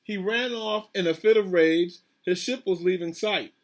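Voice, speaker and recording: Casting: male principal doing a children's read-aloud, slightly raised pitch, conversational asides, questions to the listeners. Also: moderate pace, slight background noise. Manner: addresses children directly, asks questions, repeats himself. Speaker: school principal reading aloud.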